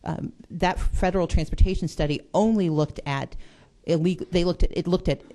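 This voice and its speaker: A woman's voice: on the low side, moderate pace, mellow. A woman legislator giving an earnest, persuasive speech in a committee hearing. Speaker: state legislator.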